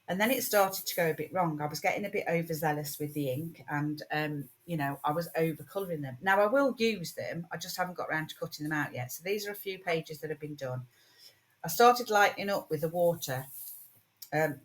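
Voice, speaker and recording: A British woman hosting a casual crafting video, chatting in a rambling way with many ums, her voice croaky from a lingering cold and cough. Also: deep voice. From United Kingdom. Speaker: craft channel host.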